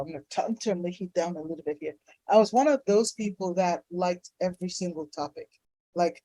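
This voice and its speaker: A woman's voice: on the low side, dark tone, dry recording, poor audio. A middle-aged woman, a doctor being interviewed, telling personal stories casually with effusive enthusiasm. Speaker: fertility doctor, interview guest.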